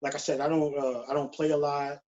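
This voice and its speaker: A man's voice: a little high, flat delivery, brisk, a little mellow. A forty-year-old man chatting casually as an interview guest in slangy speech, often checking in with "you know what I'm saying", on a dull lo-fi recording. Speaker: guest drummer. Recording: dull lo-fi call audio.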